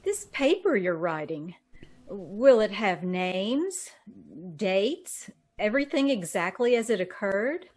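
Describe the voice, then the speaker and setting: A woman playing a vain, intense woman in an old-time radio drama, speaking deliberately with dramatic pauses. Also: medium pitch, expressive, a little mellow. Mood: wistful, scheming. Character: vain, intense woman reborn with a beautiful new body.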